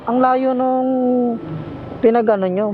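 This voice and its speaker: A man's voice: very high-pitched, takes it slowly, narrow, tinny audio. A Filipino man chatting casually as a motorcycle taxi rider, playful and teasing with his passenger, talking through a helmet intercom outdoors. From Philippines. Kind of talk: casual bantering chat with a passenger. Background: vehicle and street noise.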